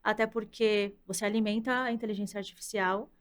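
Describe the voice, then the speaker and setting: A woman's voice: mid-range voice, fairly even intonation, fairly quick. A Brazilian woman in her thirties, a content director, explaining her views in a thoughtful, conversational way. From Brazil, from the countryside. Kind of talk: casual interview conversation.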